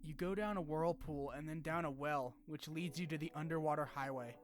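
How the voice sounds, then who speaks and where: A man's voice: fairly high pitch, brisk. A man narrating a scripted but casual game review, with informal wry asides and some swearing. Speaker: video essay narrator.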